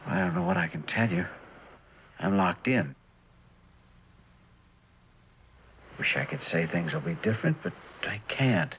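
A man's voice: sits low, lively intonation, normal speed, a bit bright, muffled recording. An actor playing an earnest, weary husband devoted to his work and evasive about it, in a mid-century television drama. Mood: resolute. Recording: noisy old television drama soundtrack.

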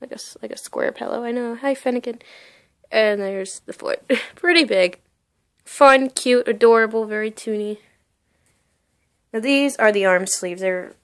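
A woman's voice: mid-range voice, smooth. Bubbly young woman gushing over a costume. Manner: bubbly, gushing, lots of "like" and "really, really". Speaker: seller showing a costume. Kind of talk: casual show-and-tell vlog. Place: bedroom, indoors.

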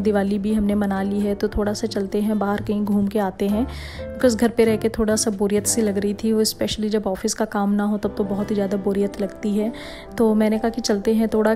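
A young woman with a Rajasthani accent, narrating a cooking vlog and explaining each step calmly. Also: mid-range voice. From India. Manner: steady explanatory, step-by-step instructions. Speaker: vlogger.

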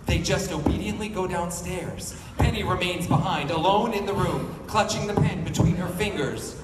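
A man's voice: sits high, moderate pace. A man reading script stage directions as a panel host in a large, echoey convention room. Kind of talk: scripted narration. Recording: noisy, echoey convention hall from far off.

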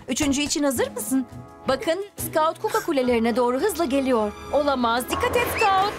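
A woman playfully narrating a toddlers' cartoon, encouraging and exclaiming as she addresses the characters. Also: high-pitched. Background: music.

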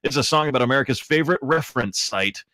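A man's voice: medium pitch, lively intonation, fast, bright, forceful tone. A man hosting a live podcast, introducing items in a casual, chatty way. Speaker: podcast host.

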